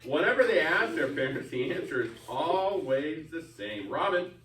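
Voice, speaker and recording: A man's voice: a little high, normal speed, slight background noise. A man teaching a reading lesson, prompting readers with encouragement and reading aloud expressively. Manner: encouraging, prompting, animated when reading out parts. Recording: roomy, echoey, picked up from a distance.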